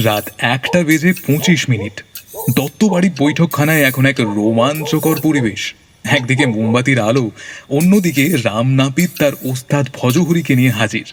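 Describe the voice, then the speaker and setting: A man's voice: mid-range voice, normal speed, a little hiss or hum. A man narrating a scripted comic audio story in a dramatic style.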